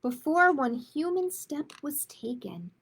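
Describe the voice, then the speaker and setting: A woman speaking as a children's storytime host, in a measured manner suited to picture books and simple chat with families. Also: high voice, sing-song, vocal fry, a bit bright, slight background noise. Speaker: children's librarian hosting storytime. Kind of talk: picture book read-aloud, then casual announcements.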